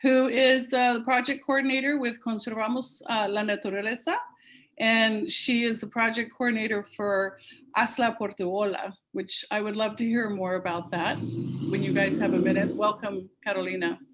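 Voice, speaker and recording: Woman hosting a panel on a video call, chatty and upbeat, lots of ums, mid pitch. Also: level tone, normal speed, a bit bright, poor audio. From Peru. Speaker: festival host. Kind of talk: live-stream panel introduction.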